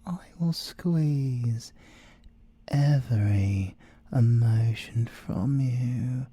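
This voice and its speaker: A British man playing a possessive, scheming siren in an ASMR audio roleplay, seductive and theatrical, with drawn-out words and whispers. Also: mid-range voice, warm tone, husky, dry recording, heavily acted. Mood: obsessive, gloating. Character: possessive, scheming male siren, obsessive lover and villain.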